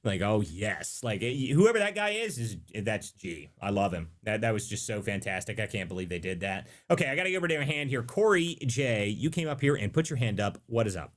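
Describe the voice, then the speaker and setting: Guy hosting a political show live, quick and bouncy, lots of restarts. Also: on the low side. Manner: animated, fast, emphatic, many restarts. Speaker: host.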